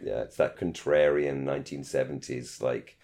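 A man's voice: very deep voice, steady tone, moderate pace, a little mellow. A man co-hosting a podcast in casual discussion, rambling and repeating phrases for emphasis. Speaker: podcast co-host.

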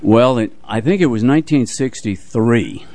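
An older man co-hosting a radio show, casually telling anecdotes about music in an unhurried way. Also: medium pitch, warm tone, no room sound.

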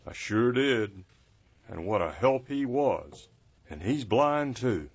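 A man's voice: medium pitch, expressive, slow, breathy edge, dry recording, heavily acted. A man voicing a blind caretaker who is folksy, patient and kind. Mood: earnest. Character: blind male caretaker, gentle and wry. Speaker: nurse to wounded soldiers. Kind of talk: audio drama acting. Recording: muffled lo-fi recording.

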